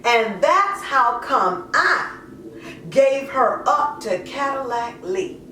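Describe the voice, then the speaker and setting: Lady acting out a troubled mom confessing and making excuses, high voice, super slow, dramatic poem reading. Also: lively intonation, pushed and bright, a little hiss or hum, full character voice. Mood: confessional. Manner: colloquial, drawn-out, confessional. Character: troubled, self-justifying mother addicted to crack. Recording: echoey room, distant mic.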